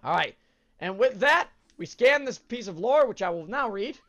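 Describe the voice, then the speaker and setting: A man's voice: high-pitched, lively intonation, moderate pace, pushed and bright, dull audio. Stuffy-nosed man, chatty gameplay host. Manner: chatty, rambling, frequent uhs and self-corrections. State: stuffed up with a cold. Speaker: let's play host. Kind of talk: casual gameplay commentary.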